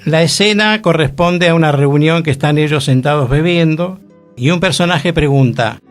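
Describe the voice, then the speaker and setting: A man's voice: on the high side, moderate pace, soft background music. An older man with a Rioplatense accent hosting a radio show, explaining theater history with frequent tag questions. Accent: Rioplatense Spanish. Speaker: radio host.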